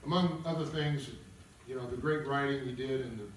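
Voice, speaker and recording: A man informally introducing and narrating a live table read, explaining as he goes with some halting. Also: medium pitch, fairly even intonation, slight background noise. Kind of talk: live introduction and reading of stage directions. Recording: echoey room, far mic, guitar behind. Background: guitar music.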